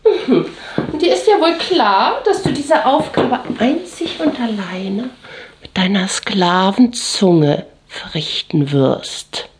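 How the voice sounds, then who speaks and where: Woman performing a haughty dominant mistress, slow deliberate pace, swooping intonation, condescending, breathy whispers, audio drama. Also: mid-range voice, reverberant, background noise. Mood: mocking, condescending. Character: haughty dominatrix mistress commanding her servant. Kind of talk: scripted German audio drama monologue, intimate.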